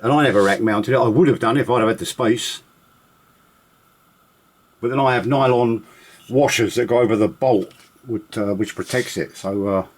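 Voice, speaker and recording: A man giving a casual, unscripted demonstration of vintage gear, chatty and rambling with halting pauses. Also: mid-range voice.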